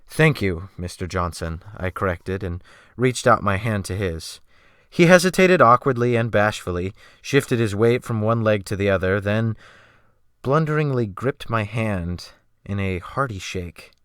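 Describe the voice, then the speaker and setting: A man's voice: on the low side, expressive, unhurried, a bit bright, clean tone, dry recording. A man reading a dramatic first-person audiobook narration, close to the microphone. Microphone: close USB desktop microphone.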